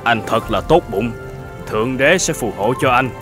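Man playing earnest young traveller, medium pitch. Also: expressive, moderate pace, fairly bright tone, faint music behind, close to an everyday voice. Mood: grateful. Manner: polite, earnest. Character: grateful, earnest young traveller, poor and homesick. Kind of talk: animated folk-tale dubbing.

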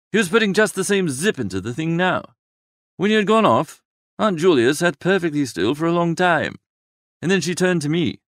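A man reading a first-person literary story aloud as an expressive narrator. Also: on the high side, no echo, clean studio sound. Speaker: audiobook narrator.

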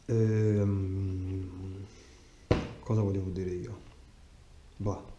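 A man's voice: low voice, level tone, extremely slow. A man chatting casually about his motorcycle, hesitant and full of fillers, recorded hands-free in a cluttered garage. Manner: rambling, hesitant, many fillers and restarts. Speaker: motorcycle vlogger. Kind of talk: casual unboxing vlog talk. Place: cluttered garage at night, indoors. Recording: dull roomy garage recording with faint hiss.

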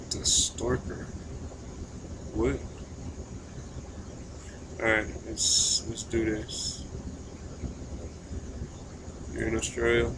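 A man streaming casually and making a phone call, speaking haltingly and often repeating his words. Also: lowish voice, monotone. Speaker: live streamer, prank caller. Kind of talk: casual livestream chat and prank phone call. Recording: faint background noise.

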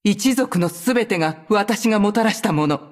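A woman voicing a proud, vengeful sorceress from a video game, speaking in a cold, haughty, deliberate manner. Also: mid-range voice, animated, faint music behind, lightly acted. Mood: cold, scornful. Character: proud, vengeful sorceress who betrayed her cursed clan. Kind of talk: video game character voice lines in Japanese.

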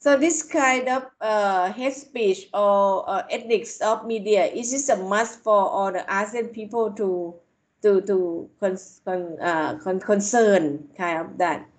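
A middle-aged woman from Thailand with a Thai accent, a university lecturer giving an online panel talk in halting English full of fillers, speaking over a video call from a tablet at home. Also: mid-range voice. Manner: halting, many "kind of" fillers and restarts.

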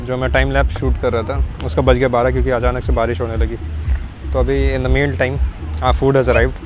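A man's voice: mid-range voice, flat delivery, normal speed, music in the background, poor audio. A young man chatting casually to camera as a travel vlogger, teasing his family and dropping English phrases into his talk, filmed at arm's length on a lapel mic by the sea. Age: young adult. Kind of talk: casual vlog chat. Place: windy beach outdoors, then beach cafe. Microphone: lapel mic, selfie camera held at arm's length.

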